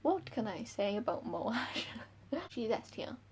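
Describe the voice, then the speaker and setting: A woman's voice: on the high side, big pitch swings, measured pace, breathy edge. A young woman with a Welsh accent chatting to camera about books at home, giggly and self-deprecating. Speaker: book vlogger. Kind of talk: casual chat to camera. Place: room at home by a window.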